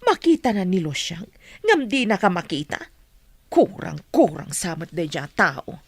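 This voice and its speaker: A woman's voice: lowish voice, big pitch swings, a little slow, slightly rough, full character voice. A woman playing a scheming, meddling middle-aged village mother in an Ilocano radio comedy drama, with an Ilocano accent.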